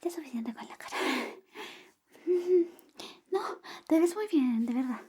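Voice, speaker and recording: A woman whispering softly and gently in an ASMR roleplay, playing a friend who grooms you. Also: high voice, animated, a little slow. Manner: soft whispering, close and gentle.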